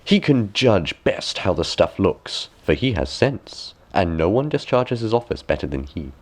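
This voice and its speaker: Man playing a vain, animated storybook emperor. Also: low-pitched, measured pace, full character voice. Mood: confident. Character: vain emperor, self-assured lover of fine clothes.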